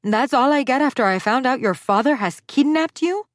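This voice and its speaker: A woman's voice: high-pitched, lively intonation, moderate pace, dry recording, pitched above the performer's own voice. A woman voicing a dramatic, scolding middle-aged mother who speaks with a thick Spanish accent, in a dry close recording. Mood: outraged. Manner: loud, scolding, theatrical. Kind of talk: audiobook character voice.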